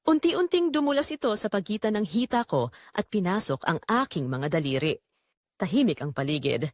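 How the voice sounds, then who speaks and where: A woman performs a married mother confessing in a scripted audio drama, full of longing and guilt. Her mid-pitched voice rises and dips in a lively way, with a very dry, close sound. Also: normal speed, dry recording. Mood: tense, yearning. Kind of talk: first-person scripted audio drama narration.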